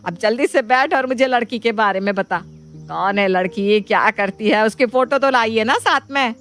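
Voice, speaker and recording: A woman voicing an eager, trusting middle-aged mother in a dramatised moral story, chatty and expressive. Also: a little high, moderate pace, natural voice. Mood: surprised, eager.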